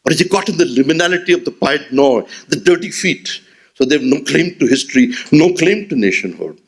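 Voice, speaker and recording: Older indian guy lecturing at a podium mic, husky voice, lots of swoops up and down. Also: on the high side, brisk. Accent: Indian English. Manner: expressive, rhetorical, gestures while speaking. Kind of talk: memorial lecture. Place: auditorium stage at a podium. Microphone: podium gooseneck mic.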